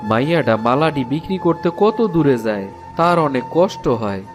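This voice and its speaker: A man plays an ailing village father from an animated folk tale. He sounds sorrowful, doting and fretful in a rural eastern Bengali accent. The voice is fairly high for a man, over soft music. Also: normal speed, natural voice. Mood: self-pitying. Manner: plaintive, fretful.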